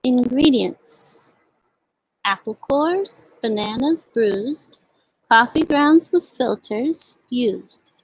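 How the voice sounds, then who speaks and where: A woman hosting a storytime for young children, explaining and reading aloud in simple words with little questions, in a slightly husky voice. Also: fairly high pitch, animated, very slow, rough recording. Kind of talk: show-and-tell and read-aloud for young children.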